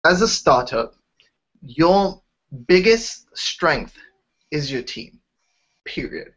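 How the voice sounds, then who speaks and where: A man's voice: fairly high pitch, very animated, slow, a bit bright. A young man from Trinidad and Tobago with a Trinidadian accent, eagerly telling his startup story in an interview, often restarting his sentences. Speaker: startup founder, interview guest. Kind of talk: casual interview talk. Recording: dull lo-fi sound.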